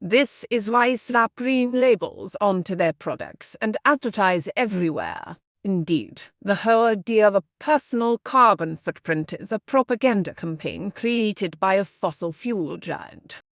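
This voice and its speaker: A woman's voice: medium pitch, lively intonation, a little slow, few pauses, no room sound, studio quality. A woman narrating scripted practice passages for English learners, read aloud.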